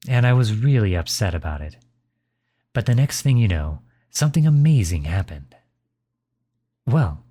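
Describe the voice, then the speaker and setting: A man plays a kind, reassuring father in an ASMR roleplay, comforting his child. The voice sits low, with a dark, relaxed tone. He speaks slowly and softly, with gentle encouragement. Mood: warm, reminiscing. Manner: soft, soothing, unhurried encouragement.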